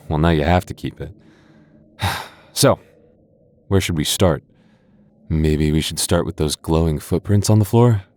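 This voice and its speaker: A man's voice: low voice, unhurried, long pauses, darkish tone, no room sound. A man voicing a playful, teasing young goth boyfriend in an ASMR roleplay, often stammering and chuckling. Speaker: voice actor.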